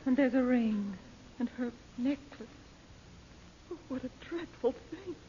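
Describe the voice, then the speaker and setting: Fretful radio-drama mother: high, animated, exclaiming; dull vintage recording with faint noise. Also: a little slow. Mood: dread. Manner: worried, exclaiming. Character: anxious, fretful mother, sister of the hero. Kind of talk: 1940s radio drama acting.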